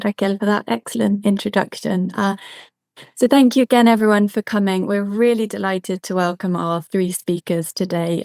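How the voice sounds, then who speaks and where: A woman with a gravelly, fry-edged voice at a middle pitch introduces the speakers at an online seminar. She reads their credentials politely and steadily. The sound is dry and close. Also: darkish tone, dry recording.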